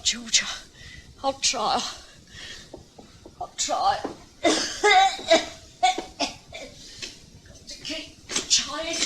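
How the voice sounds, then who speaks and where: An actress playing a frail, long-suffering, ailing elderly wife in a radio drama, halting and plaintive. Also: female voice, sits high, pushed and bright, slight background noise, heavily acted. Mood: pleading, weak, then despairing. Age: older. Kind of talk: old-time radio drama acting.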